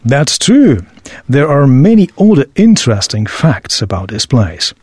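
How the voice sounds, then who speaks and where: A man's voice: medium pitch, big pitch swings, unhurried, a little mellow, husky, no echo, clean studio sound. A man playing a knowledgeable, obliging local tour guide in a scripted audio drama, speaking in a formal, presentational way. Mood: informative, obliging.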